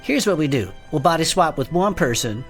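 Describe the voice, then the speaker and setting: A woman's voice: low-pitched, big pitch swings, a little fast, faint music behind. A woman playing a wry, easygoing podcast co-host in a comedic audio drama, speaking casually and with plenty of slang. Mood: playful. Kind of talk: conversational comedic audio fiction.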